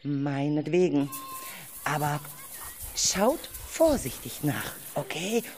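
A woman's voice: low voice, lively intonation, slow pace, very noisy. A woman playing a caring adult guide of a children's forest hike in a radio play. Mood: puzzled, cautious.

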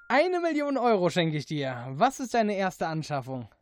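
A woman hosts a casual radio chat in a dry studio sound. She speaks slowly and giggles readily between her short questions. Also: fairly low pitch, no echo. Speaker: radio host. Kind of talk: casual radio interview with quick-fire questions.

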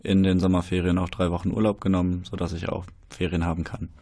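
A man's voice: low-pitched, level tone, fast talker, dark tone, no echo. A man in his early twenties, a trainee educator guest on radio, hesitant and laid-back. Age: 20s.

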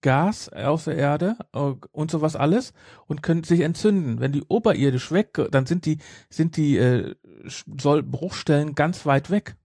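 A man's voice: a little high, unhurried, a little mellow, breathy edge, no room sound, clean studio sound. A man talking casually as a podcast co-host, explaining things and insisting on details. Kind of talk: casual fan discussion.

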